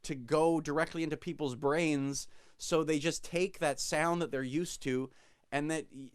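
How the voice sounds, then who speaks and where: A man's voice: on the high side, fairly even intonation, smooth, no echo, studio quality. A man in his forties from Quebec, a musician guest giving long, reflective answers that ramble and correct themselves mid-thought on a video call. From Quebec, Canada. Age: 40s. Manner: rambling, self-correcting, halting.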